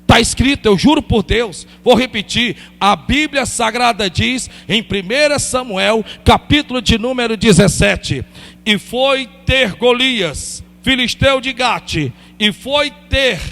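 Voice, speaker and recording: A high-pitched, gravelly male preacher, driving his points home with insistent repetition. His voice rings out in a church hall with a long reverb tail. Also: fairly bright tone. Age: 50s. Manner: repetitive, emphatic, calls on the congregation. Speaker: pastor. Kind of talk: sermon.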